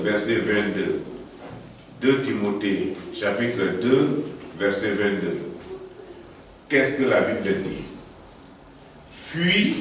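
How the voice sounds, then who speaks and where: A man preaching slowly and deliberately, his voice thick from a head cold. He is recorded from a distance in a very echoey hall, with faint ambient noise behind him. His pitch sits in a middle register and his phrasing builds to emphatic rises. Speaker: pastor. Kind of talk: sermon.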